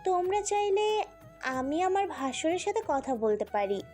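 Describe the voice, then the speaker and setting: Casting: woman to play gossipy matchmaking auntie in an audio story, high but warm tone, insinuating. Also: big pitch swings, measured pace, faint music behind, heavily acted. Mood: persuasive. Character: gossipy, meddling aunt playing matchmaker.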